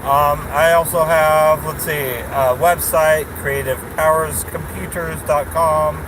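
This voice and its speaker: A man's voice: on the high side, fairly even intonation, takes it slowly. A man in his late thirties rambling in a casual vlog from a parked car at night, full of fillers and restarts, chuckling now and then. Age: 30s. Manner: meandering, many fillers and restarts, chuckles often. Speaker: vlogger. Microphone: phone camera on dashboard. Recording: noisy car interior.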